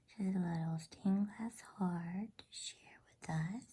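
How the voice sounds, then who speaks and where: A woman ASMR host in a gentle, unhurried, breathy whisper, reading and chatting for a bedtime story session. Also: on the low side.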